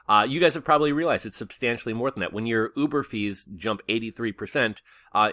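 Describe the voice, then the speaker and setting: A man's voice: fairly low pitch, fairly bright tone, no echo, clean studio sound. A man hosting a casual news rundown, talking in a conversational, opinionated and skeptical way. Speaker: video host, news commentator.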